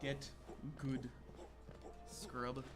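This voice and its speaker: A man's voice: mid-range voice, flat delivery, very slow. A young man trading sarcastic, teasing quips during a game stream. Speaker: co-commentator at a speedrun event. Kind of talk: casual banter. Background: game music.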